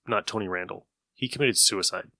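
A male host talks casually on a podcast. His voice sits low but sounds bright and forceful, in a very dry recording. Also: brisk, vocal fry, no room sound. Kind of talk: casual chat.

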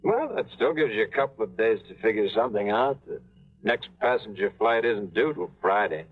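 An actor playing a dry, wisecracking adventurer in a 1950s radio drama, tinny old broadcast. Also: male voice, lowish voice, animated, brisk. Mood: cool. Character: wisecracking adult male tour guide adventurer. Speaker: narrator and lead character.

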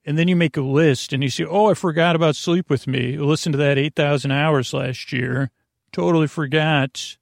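Rambling, creaky-voiced male podcast host. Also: fairly high pitch, fairly even intonation, no room sound, clean studio sound. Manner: meandering, halting, self-interrupting digressions; self-deprecating. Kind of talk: rambling bedtime monologue.

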